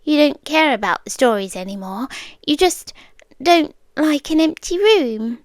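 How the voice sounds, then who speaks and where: Woman playing a soft, slow British yandere girlfriend, high-voiced. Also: dry recording, studio quality. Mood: softly obsessive. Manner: slow, deliberate, unnervingly calm, often drifting into whispers. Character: calm, obsessive yandere stalker girlfriend, young woman. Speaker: ASMR roleplay performer. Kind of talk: ASMR roleplay, soft close speech. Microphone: close microphone.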